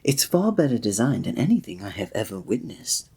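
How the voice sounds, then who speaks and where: Man playing a soft, breathy, gallant fantasy innkeeper. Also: mid-range voice, very animated, a little fast, heavily acted. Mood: admiring, earnest. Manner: formal, old-fashioned, gallant, gentle phrasing. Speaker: ASMR roleplay performer. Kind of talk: scripted romantic ASMR roleplay.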